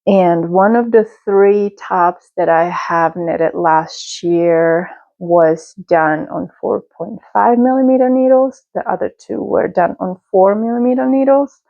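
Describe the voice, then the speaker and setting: Slow, gravelly-voiced woman. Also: mid-range voice, no room sound. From Europe. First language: Czech. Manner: slow, unhurried, chatty with small self-corrections. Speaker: knitting podcast host. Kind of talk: casual show-and-tell chat. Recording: slightly dull, dry lo-fi sound.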